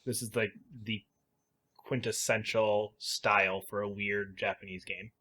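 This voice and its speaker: A man co-hosting a podcast, talking informally about video games and thinking out loud as he weighs his opinions. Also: lowish voice, level tone, a little slow. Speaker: podcast co-host.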